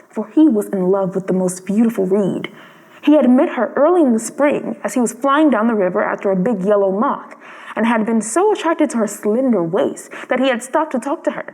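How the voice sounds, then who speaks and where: Expressive, high-voiced woman reading a storybook. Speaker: storybook reader. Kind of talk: expressive scripted story narration. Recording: long reverberant hall-like sound.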